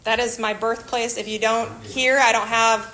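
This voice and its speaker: A woman from Illinois with a Chicago accent, giving a chatty, animated church talk to a group about a missionary kids program. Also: a little high, normal speed. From Illinois, USA. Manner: chatty, animated, self-deprecating asides. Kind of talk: informal church presentation to a group.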